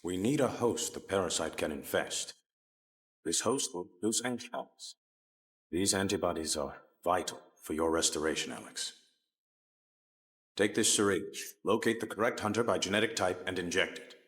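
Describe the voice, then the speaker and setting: An actor playing a wary, precise doctor in a dramatic video game scene. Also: male voice, deep voice, normal speed, fairly bright tone. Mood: grave, instructive. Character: wary, clinical older male doctor and pathologist. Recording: faint music behind.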